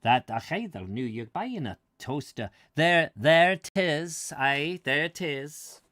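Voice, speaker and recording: A man voicing an earnest Scottish village marriage priest with a broad, halting dialect in a story. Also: a little high, animated, fairly bright tone, no room sound, clean studio sound. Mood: earnest, explanatory.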